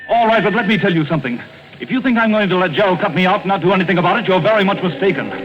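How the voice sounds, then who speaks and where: A man's voice: high voice, animated, racing, over music. A man playing a jealous, hot-headed rejected suitor in 1940s radio drama style. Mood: bitter, threatening. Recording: very noisy muffled vintage radio recording.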